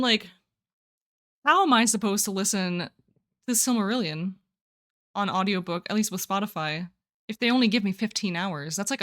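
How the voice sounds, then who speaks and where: A young woman streaming live, chatting casually with her viewers, rambling and laughing often as she answers chat. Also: mid-range voice. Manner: giggly, rambling, excitable.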